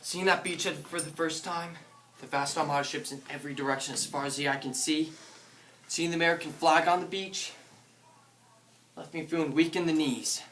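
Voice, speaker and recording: A man's voice: fairly high pitch, steady tone, brisk, a bit bright, natural voice. A man playing a dutiful, battle-tested American paratrooper officer, declaiming his memories of combat in a theatrical war drama. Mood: solemn, proud. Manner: declamatory, bellows battle orders. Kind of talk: theatrical monologue and dialogue. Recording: echoey room from far off, noisy.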